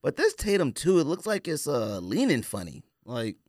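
A man with an animated, wide-ranging pitch, recorded dry and close. He chats as a casual podcast co-host, slangy and opinionated. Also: normal speed, dry recording. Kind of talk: casual banter about sneakers.

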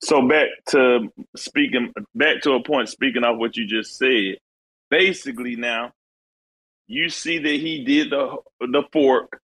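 Bright-voiced man chatting loosely. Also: mid-range voice, measured pace, rough recording. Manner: loose, conversational, slangy. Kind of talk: casual crypto discussion.

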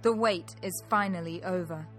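A woman's voice: on the low side, moderate pace, close to an everyday voice. A woman performing as an ominous narrator in a dramatic scripted audio drama trailer. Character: ominous female trailer narrator for immortal sci-fi saga. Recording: loud music behind.

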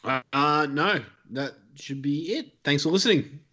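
A man's voice: on the high side, measured pace, sharp tone, husky. A married man from Australia with a thick Australian accent, chatting casually as a podcast guest in a laid-back way. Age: adult, married. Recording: slightly muffled lo-fi audio.

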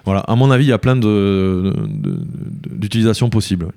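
A man gives conversational interview answers in a fairly low, warm voice with a clean, resonant tone. He explains things haltingly, often repeating a word before carrying on. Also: wildly swooping pitch, vocal fry. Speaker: interview guest, village mayor.

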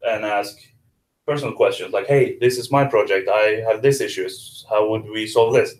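A man's voice: on the low side, steady tone, normal speed. A man guest explaining a workshop in a casual interview, with hesitant restarts. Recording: echoey room.

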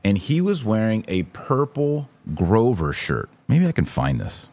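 A man's voice: lowish voice, wildly swooping pitch, measured pace, a little mellow. A middle-aged American man hosting an opinion podcast, commenting emphatically with exasperation and personal anecdotes.

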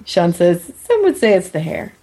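A woman's voice: fairly low pitch, wildly swooping pitch, normal speed, dark tone. A woman co-hosting a podcast, chatting casually with wry, teasing asides. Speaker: podcast co-host. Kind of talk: casual TV recap chat with friends.

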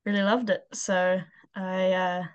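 Even-toned New Zealand woman, casual. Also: medium pitch, a little slow, clear, resonant tone, muffled recording. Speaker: interview guest, aircraft technician and pilot. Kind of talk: casual interview chat.

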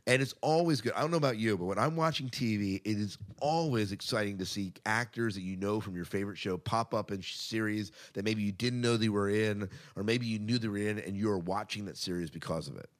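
Low-voiced American male podcast host. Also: a little fast, few pauses. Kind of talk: casual entertainment news chat.

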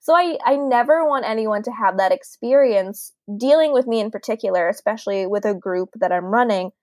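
A woman's voice: on the high side, a little fast, darkish tone, no echo, clean studio sound. A woman in her late twenties co-hosting a podcast in a casual, chatty way, laughing often and peppering her talk with "like.". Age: late 20s. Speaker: podcast co-host.

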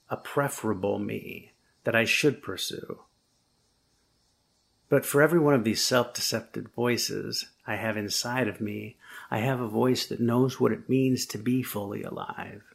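Gravelly, husky man preaching. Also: fairly low pitch. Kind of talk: sermon with first-person storytelling.

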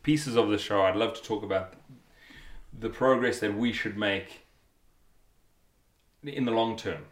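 A man's voice: fairly low pitch, a little slow. Man talking in an echoey room. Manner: eager, restarts and repeats while planning aloud. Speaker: podcast co-host. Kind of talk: casual chat.

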